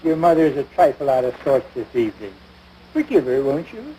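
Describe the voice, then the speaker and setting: Man acting as stuffy American family man, mellow dark tone, formal, dryly sarcastic, old sitcom, slightly noisy recording. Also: medium pitch, big pitch swings, brisk, natural voice. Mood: testy. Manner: pompous, formal, dry sarcasm. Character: pompous, long-suffering middle-aged husband and father, wryly superior. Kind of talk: domestic radio-style situation comedy. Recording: noisy vintage broadcast recording.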